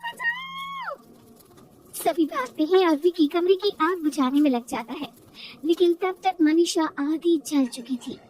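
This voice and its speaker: A woman's voice: extremely high pitch, moderate pace, darkish tone, rough recording. A woman reading a scripted children's moral story as narrator.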